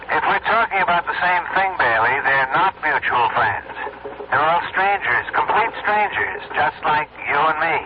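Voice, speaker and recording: A man's voice: fairly high pitch, lively intonation, moderate pace. A male actor playing an irritable, guarded man on a call in a radio drama, speaking curtly. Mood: irritated, guarded. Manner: curt. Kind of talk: 1970s radio drama acting. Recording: muffled, noisy 1970s radio recording.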